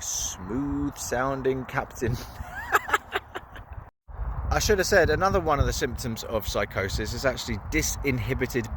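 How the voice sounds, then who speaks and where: A man's voice: fairly low pitch, noisy background. A young man casually telling a story to camera, rambling and informal. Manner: rambling, informal, with fillers and laughs. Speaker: vlogger.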